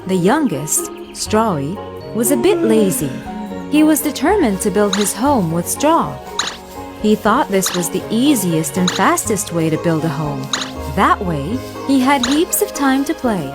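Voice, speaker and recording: A woman reading a scripted children's fairy tale as storyteller, with expressive storybook phrasing. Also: medium pitch, unhurried. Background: music.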